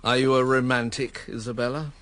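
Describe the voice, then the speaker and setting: A man's voice: mid-range voice, fairly even intonation, a little fast, fairly bright tone, close to an everyday voice. An actor playing a wry, articulate, embittered man who narrates his own story in a radio drama. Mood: guarded. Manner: wry, articulate, educated phrasing.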